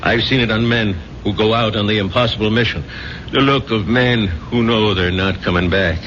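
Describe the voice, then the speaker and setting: An American actor playing a protective, middle-aged ex-soldier barkeeper in a 1970s radio drama. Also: male voice, fairly low pitch, fairly even intonation, normal speed, a bit bright, lightly acted. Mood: concerned, probing. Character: gruff, protective older ex-soldier barkeeper, urgent and fatherly. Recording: muffled, hissy 1970s radio recording.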